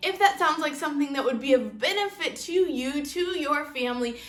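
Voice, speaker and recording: High-voiced woman vlogger in echoey room. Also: lively intonation, brisk, faint music behind. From Kansas, United States. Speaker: homeschool channel host. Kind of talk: casual vlog talk to camera.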